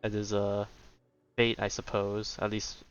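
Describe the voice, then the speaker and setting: A man talks casually over a game in an offhand, wry way. His voice sits at an average pitch and comes through a dull, lo-fi microphone, with faint game music behind. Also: completely flat delivery, measured pace, clear, resonant tone. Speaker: game streamer. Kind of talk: casual gameplay commentary.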